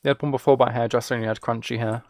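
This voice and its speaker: A man's voice: mid-range voice, steady tone, rapid-fire, darkish tone, no room sound, clean studio sound. A British man streaming a game and chatting casually with viewers, musing aloud in an informal, rambling way. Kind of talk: casual chat while playing a game.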